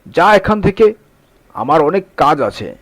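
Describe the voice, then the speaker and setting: Guy doing a snappy scornful employer in a cartoon, curt, swingy pitch, dry sound. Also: sing-song, a little slow, fairly bright tone, no echo, full character voice. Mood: scornful, angry. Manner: brusque, dismissive. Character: curt, scornful male boss turning away labourers. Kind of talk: Bengali cartoon fairy-tale acting.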